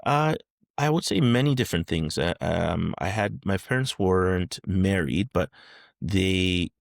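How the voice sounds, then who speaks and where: A man's voice: low-pitched, lively intonation, unhurried, gravelly, no room sound, studio quality. A man in his thirties from Canada, speaking as a guest in a casual interview, hesitant with many fillers and restarts. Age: 30s.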